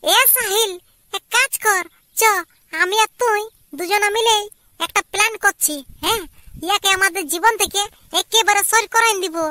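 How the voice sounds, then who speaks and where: A scheming, scornful young wife in a homemade cartoon. Her filtered voice is extremely high and piercingly bright. She nags bluntly in a Purulia Bengali accent. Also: moderate pace, dry recording. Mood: conspiratorial, discontented. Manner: blunt, nagging. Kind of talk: amateur cartoon dialogue.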